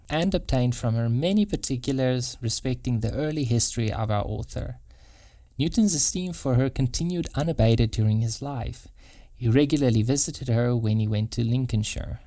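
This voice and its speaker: A man narrates a non-fiction audiobook in a measured, formal storytelling way. His tone is mellow and relaxed, with a medium pitch. The recording is close and very dry. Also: no echo.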